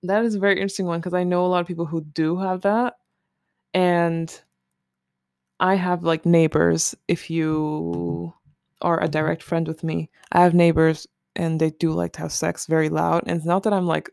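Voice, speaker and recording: Casual creaky-voiced host, close and dry. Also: mid-range voice, flat delivery, normal speed, darkish tone, no room sound. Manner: candid, informal, swearing. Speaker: solo podcast host. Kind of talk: casual rambling chat, commenting on a list.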